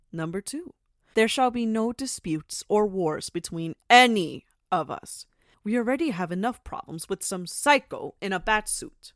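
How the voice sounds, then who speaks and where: A woman playing a commanding mafia madam in an audio drama, measured and mock-sweet with authority underneath. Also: mid-range voice, a bit bright, dry recording, studio quality. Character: commanding mafia madam, silkily polite yet menacing. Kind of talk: audio roleplay acting.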